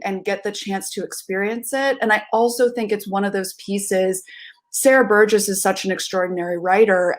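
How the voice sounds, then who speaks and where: A woman in her thirties reflects on her work in an interview. Her voice is mid-pitched and she speaks earnestly, leaning on key words for emphasis. She is on a video call from a room at home. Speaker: theatre director. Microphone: video call with headphones.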